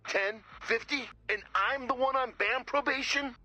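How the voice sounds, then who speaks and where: A raspy, high-strung, dramatic rocker. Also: male voice, measured pace, sharp tone, no echo, heavily acted. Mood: indignant. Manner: dramatic, whiny, indignant. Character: excitable, defensive lead guitarist and scientist. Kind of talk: video game voice acting.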